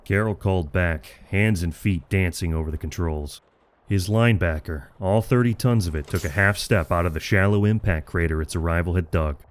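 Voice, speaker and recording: A man giving dramatic scripted narration of a military story in a measured, grave manner. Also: low voice.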